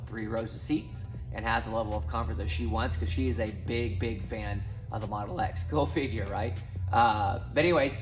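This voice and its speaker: A man in his thirties from Southwest Missouri, talking casually to camera as a finance YouTuber, rambling with many ums and restarts. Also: medium pitch. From Southwest Missouri, USA. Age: 30s. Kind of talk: casual talking-head vlog.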